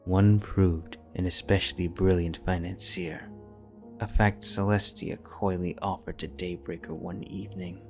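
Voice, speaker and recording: A man narrating a fanfiction audiobook in a steady, measured storytelling voice. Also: deep voice, faint music behind.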